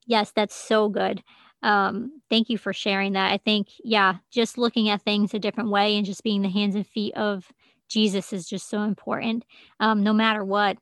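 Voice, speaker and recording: A woman speaks as an interview host in an easy conversational style. She is affirming and gently earnest. Her pitch is average for a woman, and the recording is dry with no room sound. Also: flat delivery, moderate pace, no room sound.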